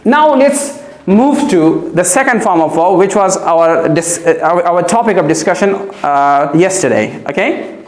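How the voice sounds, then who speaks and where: A man's voice: sits high, expressive, a little slow, darkish tone. A man giving a classroom grammar lesson as a teacher, repeating key phrases and checking that pupils follow. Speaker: English teacher. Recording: echoey classroom.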